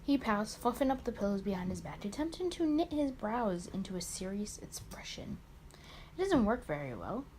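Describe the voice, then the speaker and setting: A woman reads as a wry, caring male detective telling his own story in a visual novel. The delivery is dry, at an average female pitch, over faint background noise. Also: big pitch swings, normal speed, a little mellow, lightly acted, rough recording. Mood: wry, amused.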